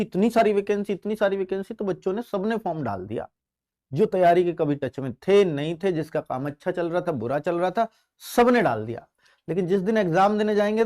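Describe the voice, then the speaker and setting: A man's voice: sits high, darkish tone. A man speaking as an exam coaching teacher, explaining and advising students, repeating key phrases and answering his own questions. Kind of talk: motivational exam advice to students.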